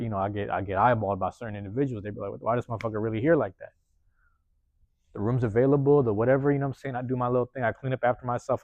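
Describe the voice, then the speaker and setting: A man hosting a podcast from a university room, giving a loose, slangy motivational monologue with lots of repetition, his voice getting a bit hoarse. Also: lowish voice, a little mellow, no room sound. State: voice going hoarse. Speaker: podcast host.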